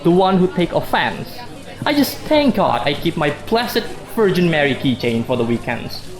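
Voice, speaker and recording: A man's voice: fairly high pitch, big pitch swings, normal speed, music in the background. A man playing a sarcastic, defensive office worker husband in a radio drama, sardonic and emphatic. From Indonesia. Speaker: actor in a student radio drama.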